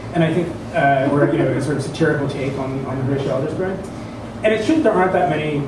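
A man in his thirties or forties introducing a literary reading informally, halting and digressive as he searches for words. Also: fairly high pitch. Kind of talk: informal spoken introduction before an audience. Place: indoor event room, at a standing microphone. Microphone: stand microphone, picked up from a distance. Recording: echoey room, distant mic, clear background noise.

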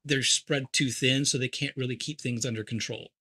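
Bright-voiced man explaining game lore. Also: mid-range voice, steady tone, normal speed, breathy edge. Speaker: co-host guest. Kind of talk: casual explaining chat.

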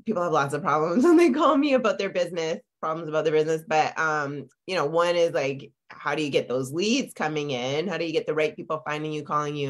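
Low-voiced congested woman on a webcam. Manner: chatty, many fillers and tag questions. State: congested, lingering cough. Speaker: business coach. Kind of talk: live-streamed coaching talk. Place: home office. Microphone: video call webcam.

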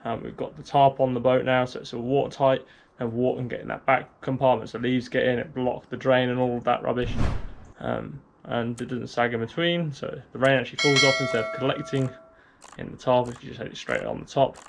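A young man casually explaining a DIY build outdoors, talking to a handheld camera in a relaxed, rambling way. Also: mid-range voice, fairly quick, vocal fry, noisy background. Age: young adult. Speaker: sailing vlogger. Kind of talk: casual how-to vlog. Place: outdoors in a garden, later in a shed. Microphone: handheld camera at arm's length.

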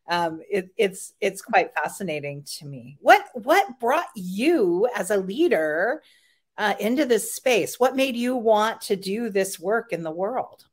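A woman with a lowish voice hosting a podcast interview. She asks curious, thoughtful questions in a relaxed way, often stopping on "um" as she works out her next thought. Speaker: podcast host.

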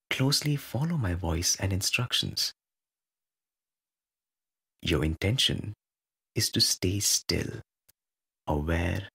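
Very slow, low, gravelly male meditation guide. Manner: measured, hushed calm with long pauses.

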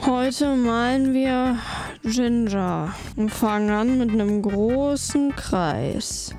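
A woman narrates a drawing tutorial one step at a time, with a mock-earnest, deadpan delivery. She speaks very slowly in a warm, mellow tone that sits a little high. Faint music plays underneath.

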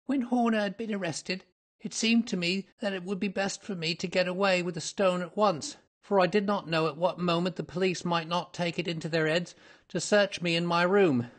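A man's voice: steady tone, normal speed, close to an everyday voice. A man voicing a terrified, cringing small-time thief in an audiobook story, with a crackling, pleading voice. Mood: nervous confession. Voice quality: crackling, shrill when pleading.

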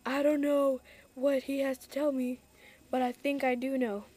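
A woman playing a composed, nosy talk-show host in an improvised puppet show, presenting plainly and deliberately. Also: high-pitched, level tone, warm tone, natural voice. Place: store floor among toys. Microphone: handheld camera.

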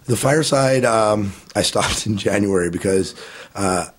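A man's voice: fairly low pitch, unhurried. A man in his thirties, a podcast guest, answering interview questions in a casual, rambling way with many fillers and restarts.

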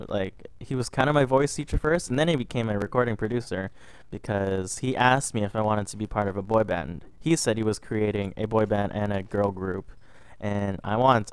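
Young man, a bit under the weather, casual rambling storyteller over game footage, low-pitched. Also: clear, resonant tone, no echo. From Canada. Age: young adult. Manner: rambling, repeats words and restarts often. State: a little sick. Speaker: gaming vlogger.

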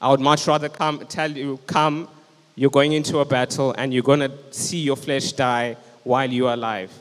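A young man delivers an earnest, urgent sermon into a handheld microphone. His mid-pitched voice rings out with a long hall reverb on the church stage. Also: flat delivery, moderate pace. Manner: earnest, urgent preaching, standing with a handheld mic. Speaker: preacher. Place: church stage with band instruments, indoors.

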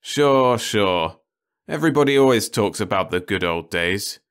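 A man's voice: fairly low pitch, animated, measured pace, clean tone. A man voicing a weary, impatient husband in an audiobook story, with an anxious, dismissive, grumbling manner. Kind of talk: audiobook character dialogue.

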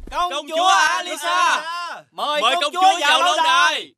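A man voicing a castle guard in an animated fairy tale, calling out in a drawn-out heralding manner over hoofbeats. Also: extremely high pitch, no echo, raised voice, studio quality, full character voice. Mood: announcing.

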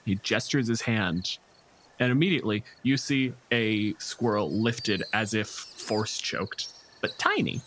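A man's voice: lowish voice, measured pace. A man narrating a tabletop role-playing game as game master in a conversational way, with dryly humorous asides. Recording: clear background noise. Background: night forest ambience with crickets and insects.

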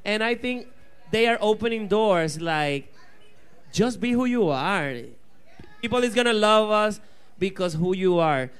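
A man's voice: high-pitched, animated, measured pace, clean tone. A man with a Puerto Rican accent, a drag queen answering fan questions at a bar event in a sassy, playful way, slipping into Spanish. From Puerto Rico. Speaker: drag queen panel guest. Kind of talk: casual Q&A banter. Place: bar stage, indoors. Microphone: handheld mic.

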